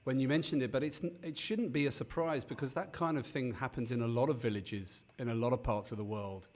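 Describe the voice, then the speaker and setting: A man's voice: medium pitch, brisk, darkish tone. A man hosting an interview over a video call, chatting in a friendly, rambling way with many restarts. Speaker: podcast host. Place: Cape Town.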